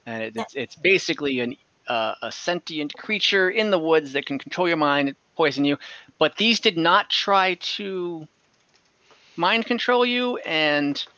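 A young man as game master, narrating a tabletop game on a video call, relaxed, with frequent fillers. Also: fairly high pitch, a little slow, dry recording. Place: home room on a video call. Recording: dull lo-fi call audio.

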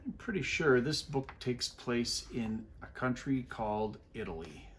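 Male storyteller, 50s, expressive read-aloud for young kids, slow pace, animated intonation, explains as he goes. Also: medium pitch, a little hiss or hum. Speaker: school superintendent reading a storybook. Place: living room armchair by a fireplace, indoors.

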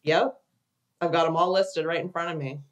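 A woman's voice: on the low side, steady tone, normal speed, dull audio. A woman co-hosting a podcast over a video call, joking and laughing easily. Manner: playful, laughs often. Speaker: podcast co-host. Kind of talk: casual chat, joking.

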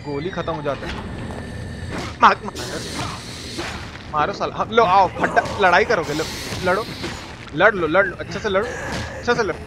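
A man giving excitable, repetitive, slangy live game commentary as a streamer. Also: high voice, normal speed, long pauses. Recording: clear background noise.

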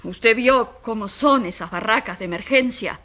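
A woman's voice: on the high side, normal speed, pushed and bright. An actress in a radio drama playing a passionate, indignant woman who defends the poor, speaking emphatically in repeated, building lists. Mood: bitter, indignant.

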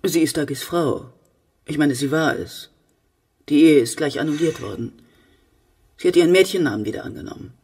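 A woman's voice: sits low, moderate pace, long pauses, warm tone, slightly rough, recorded from a distance. An actress playing a fussy, talkative, needy middle-aged mother in a German radio play. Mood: curious, flustered.

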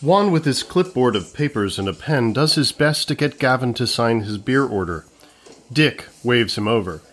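A man's voice: mid-range voice, lively intonation, moderate pace, a bit bright. A man narrating a comedy script, reading out the stage directions.

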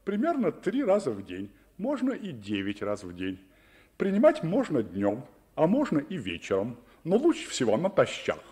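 An actor playing an absent-minded, careless ship's doctor in a children's radio play, with theatrical, sing-song delivery. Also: male voice, on the high side, huge pitch swings, normal speed, darkish tone. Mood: fussy, muttering. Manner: theatrical, half-sung verse, muttering asides.

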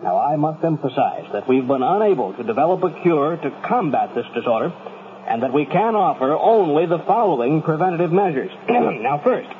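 An actor playing an earnest, authoritative doctor in a 1970s radio drama, reading a warning formally and emphatically with slight stumbles. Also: male voice, fairly high pitch, big pitch swings, moderate pace. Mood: grave, emphatic. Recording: tinny, noisy 1970s radio recording.

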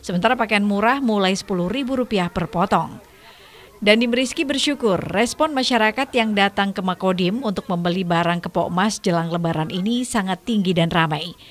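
A woman's voice: mid-range voice, fairly quick. A woman reading a scripted television news voice-over as the reporter.

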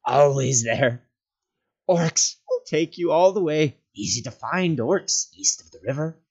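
A man voicing a wretched, cunning cave creature in a storybook reading, whining and hissing, with raspy whispers that break into a shrill voice. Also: animated, a little slow, a little mellow, lo-fi sound, exaggerated voice. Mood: cackling misery. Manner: whimpering, shrieking, speaks of himself as "we".

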